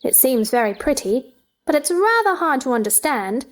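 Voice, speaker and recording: A woman's voice: high-pitched, big pitch swings, normal speed. A woman playing a curious, polite young girl, speaking with earnest wonder in a theatrical storybook dramatization.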